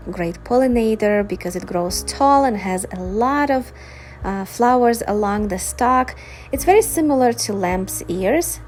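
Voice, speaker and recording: Female gardening vlogger, native Ukrainian speaker with Ukrainian accent, unhurried delivery, enthusiastic, faint music underneath. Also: medium pitch, darkish tone. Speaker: gardening video host. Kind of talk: informal explanatory vlog narration.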